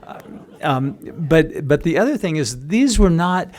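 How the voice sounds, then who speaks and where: A man's voice: on the high side, expressive, a little slow, a little mellow. A man speaking as an author at a public book talk, explaining and questioning, often rephrasing as he goes.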